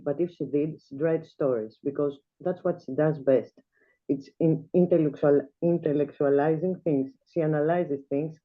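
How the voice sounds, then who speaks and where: A woman from Greece, reading her own flash fiction aloud in a measured, quiet way as a guest reader. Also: deep voice. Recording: tinny video-call audio.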